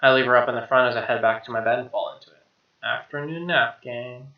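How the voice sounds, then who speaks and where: A man's voice: mid-range voice, steady tone, quick pace, reverberant, dull audio. A man reading visual novel narration aloud as a let's player, with offhand asides. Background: soft game music with guitar.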